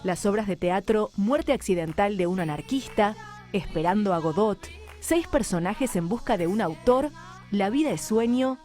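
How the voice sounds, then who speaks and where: A woman reads a formal introduction in a steady, measured voice. Her tone is mellow and dark. Faint music plays underneath. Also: medium pitch. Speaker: announcer. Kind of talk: scripted introduction.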